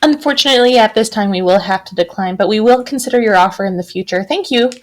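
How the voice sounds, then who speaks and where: A woman's voice: mid-range voice, fast talker, close to an everyday voice. A woman voicing an earnest adventurer who speaks in a mock-formal way.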